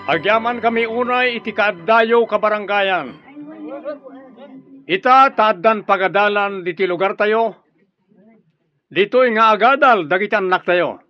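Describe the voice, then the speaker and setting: A man's voice: high-pitched, a little slow, pauses often, soft background music. A man playing an earnest young village teacher and husband in a radio drama, with an Ilocano accent. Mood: earnest speechmaking. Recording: dry but dull lo-fi audio.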